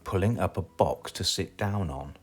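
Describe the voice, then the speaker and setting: A man's voice: deep voice, normal speed, soft background music. A man with a British accent reading a children's story aloud as a storyteller.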